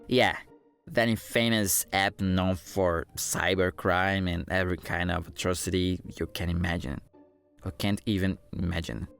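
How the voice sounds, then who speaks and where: A young Brazilian man whose first language is Portuguese, telling a funny story about himself with a Brazilian accent, self-mocking and animated, dropping to mutters and jumping to outbursts. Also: low voice, a little slow, creaky, smooth, soft background music. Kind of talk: comedic animated storytime narration.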